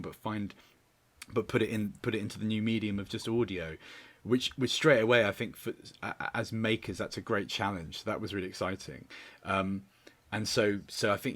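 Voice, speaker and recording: A man's voice: on the low side, fairly bright tone. A man giving a reflective interview answer as a theatre director, rambling and often restarting his phrases. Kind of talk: reflective interview answer over video call. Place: remote online call.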